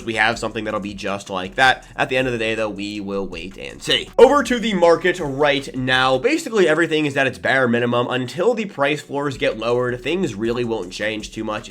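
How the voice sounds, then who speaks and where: A man's voice: medium pitch, a bit bright. A congested young man chatting casually through a video game update as a gaming video creator, loose and thinking aloud.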